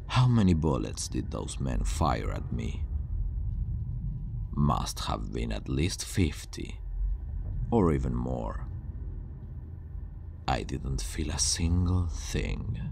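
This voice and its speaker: A man playing a calm, self-assured superhuman villain scientist who disdains humanity, speaking in a hushed, grandiose, deliberate way in an ASMR roleplay. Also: very deep voice, vocal fry, noisy background, heavily acted. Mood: self-assured, boastful. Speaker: ASMR voice actor.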